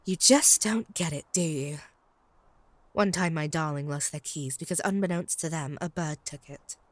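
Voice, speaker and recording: Actress doing a clingy vampire girl, haughty and snippy, kinda low voice, super dry close sound, comedy audio drama. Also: normal speed, dry recording. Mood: fiercely possessive. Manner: haughty, snide, theatrical. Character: possessive, lovestruck female vampire, bickering and flustered. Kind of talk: comedic audio roleplay acting.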